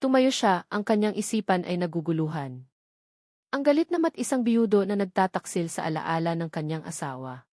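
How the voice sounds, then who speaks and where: A woman's voice: fairly low pitch, lively intonation, normal speed, mellow, no room sound, studio quality. A woman reading a scripted romantic drama audiobook as its narrator.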